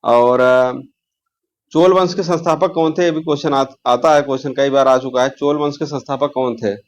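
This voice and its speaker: A man's voice: medium pitch, fairly even intonation, normal speed. A man teaching an informal exam lesson, repeating key terms and posing questions as he explains.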